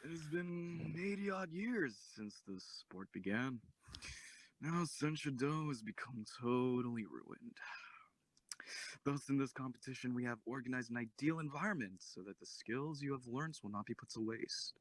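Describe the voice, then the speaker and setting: Man as a formal, deadpan tournament organizer. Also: mid-range voice, normal speed, dry recording, close to an everyday voice. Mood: formal, ominous. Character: deadpan, ominous male tournament organizer giving an address. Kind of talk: formal speech in amateur audio drama.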